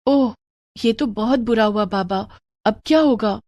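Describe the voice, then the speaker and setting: A woman voicing a caring, responsible young daughter in a moral story, gentle and reassuring. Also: a little high, a little slow, no room sound, dull audio, natural voice. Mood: concerned, reassuring. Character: caring, responsible young daughter looking after her family.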